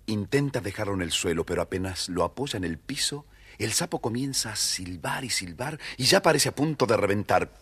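Children's record narrator: man, very low register, husky, expressive storytelling. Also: brisk.

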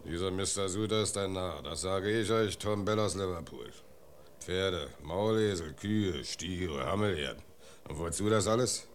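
An actor playing a scornful, grumbling sailor in a German radio drama, full of contempt. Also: male voice, low voice, a little slow, slight background noise. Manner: contemptuous, grumbling, listing with disgust.

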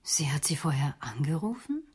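An actress playing a cornered, bitter psychoanalyst who has killed, in a German radio crime drama, with an intense, defiant manner. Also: female voice, low voice, big pitch swings, normal speed, darkish tone, slightly rough, heavily acted. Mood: shaken.

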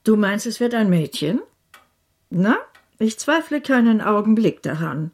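A domineering great-aunt in a radio drama. She is brisk, imperious and cutting, with a well-meaning core under the bossiness. Her pitch sits in an ordinary middle range for a woman. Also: very animated. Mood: imperious, certain. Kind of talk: German radio play acting.

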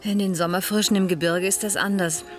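An actress in a 1970s German radio play, playing a reserved, reflective retired schoolteacher in her sixties, measured and dry. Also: medium pitch, steady tone, soft background music, close to an everyday voice.

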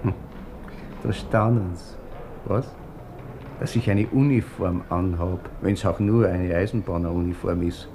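Over clear noise on an old radio recording comes a sneering Viennese railway clerk. His voice is low, smug and colloquial, full of mockery in a radio-play manner. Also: unhurried, long pauses, mellow. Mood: mocking. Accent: Viennese Austrian. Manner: mocking, colloquial. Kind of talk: 1960s German radio drama acting.

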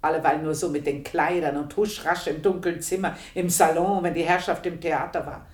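An actress playing an aging, gossiping woman servant in a radio drama, confiding in a hushed voice that turns emphatic. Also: deep voice, steady tone, normal speed, natural voice. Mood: confiding, bitter. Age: older. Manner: confiding, hushed then emphatic, dwells on phrases. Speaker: narrating character. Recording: roomy radio studio sound.

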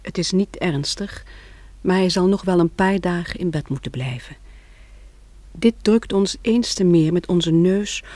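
An actress playing a caring, anxious woman survivor in a radio drama, speaking with gentle concern. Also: fairly low pitch, measured pace, no echo. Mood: sober.

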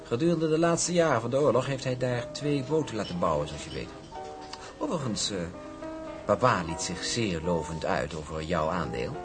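Suave villainous shipping heir, played by an actor, formal and ironic, very animated, radio drama with music underneath. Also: male voice, fairly low pitch, moderate pace, close to an everyday voice. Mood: smug, calculating. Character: suave, scheming shipping magnate's son, charming and smug.

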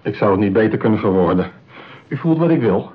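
Timid, husky, lonely customer. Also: male voice, on the low side, lively intonation. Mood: lonely, wistful. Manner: hesitant, bashful, halting. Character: shy, lonely, short male customer, timid and wistful. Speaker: actor in a radio play. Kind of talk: radio drama acting. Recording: tinny, narrow-band old radio play.

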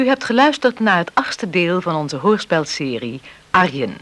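A radio drama announcer reads scripted closing credits. The delivery is animated, with wide swings in pitch. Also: moderate pace.

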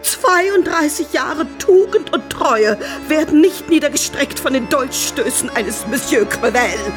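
A proud, virtuous married lady in a radio play, has a very high voice. She carries herself with theatrical dignity over faint music. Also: animated, unhurried. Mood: outraged, resolute. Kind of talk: German radio drama acting.

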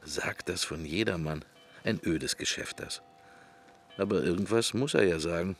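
A man's voice: deep voice, moderate pace, faint music behind. An actor playing an irreverent man muttering sardonic private thoughts in a radio drama. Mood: sardonic musing. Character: irreverent male mourner musing privately at a funeral. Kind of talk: radio drama interior monologue.

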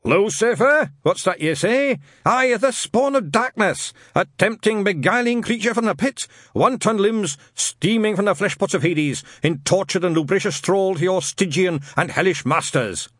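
Man doing a gruff, barking old sergeant. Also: sing-song, unhurried, fairly bright tone, no room sound, clean studio sound, full character voice. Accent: shifting British regional. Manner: rumbling, barking, testy. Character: gruff, testy older man, a shouting drill-sergeant type.

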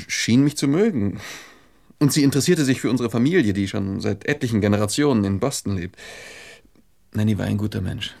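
An actor in a German radio drama playing a shy, heartbroken gentleman who speaks politely and haltingly, holding himself back. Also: lowish voice, vocal fry.

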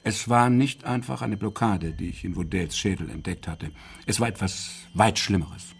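An actor playing an inquisitive, eccentric psychiatrist in a German radio crime drama, speaking deliberately and theatrically. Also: male voice, on the low side, gravelly, breathy edge, exaggerated voice. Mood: intrigued. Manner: theatrical, deliberate, fond of tag questions. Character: inquisitive, eccentric male psychiatrist, measured and probing.

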